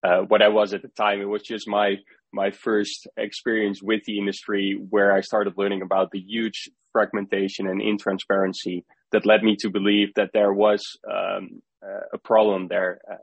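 A young man with a Dutch accent, a startup founder answering interview questions in a halting way, often repeating words as he thinks. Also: fairly low pitch, completely flat delivery, no echo. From Netherlands.

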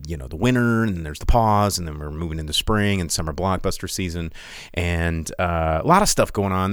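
Low voiced guy rambling on his podcast stream, jokey, tons of ums, dry close sound. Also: no echo. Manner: rambling, full of ums and restarts; loose and jokey. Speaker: podcast host. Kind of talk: casual livestream chat.

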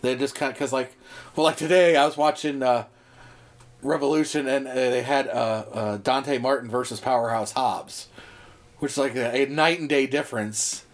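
A man's voice: medium pitch, steady tone, moderate pace. A man co-hosting a casual podcast, rambling with lots of fillers and restarts, his voice starting to wear out. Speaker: podcast co-host. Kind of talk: casual chat.

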